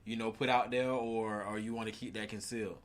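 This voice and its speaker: A man's voice: fairly low pitch, steady tone, a little fast. A man interviewing a musician, asking loose questions padded with fillers. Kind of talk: casual interview.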